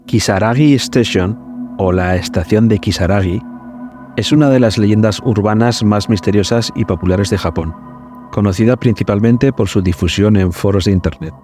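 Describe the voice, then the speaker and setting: Low, mellow male narrator over music. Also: moderate pace. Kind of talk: scripted documentary-like narration.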